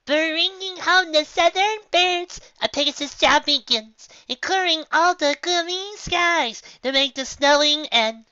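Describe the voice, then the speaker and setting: A woman voicing a flying pegasus pony who belts out a work song in loud, sustained singing. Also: high voice, measured pace, hardly any pauses, no room sound, pitched above the performer's own voice. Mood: belting. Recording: dull, muffled lo-fi recording.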